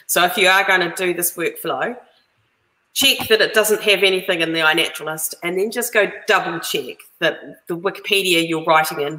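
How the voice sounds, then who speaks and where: A woman's voice: on the low side, bright, forceful tone. A middle-aged woman, explaining informally and emphatically on a video call. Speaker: co-host. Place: room indoors, on a video call. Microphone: video call microphone. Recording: echoey room over video call.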